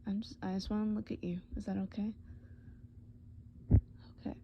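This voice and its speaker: A woman voicing a tender, worried boyfriend in a comfort roleplay, breathy and often whispering, with halting, soothing speech. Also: medium pitch, little pitch movement, noisy background, natural voice. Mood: worried, gentle. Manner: soothing, halting, pet names.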